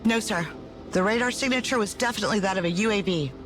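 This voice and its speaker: A woman's voice: mid-range voice, quick pace, noisy background, natural voice. A woman playing a dutiful army major in a video game, giving efficient, formal military reports. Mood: concerned. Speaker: army major, aide to a general. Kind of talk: dramatic video game voice acting. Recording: loud music behind the voice.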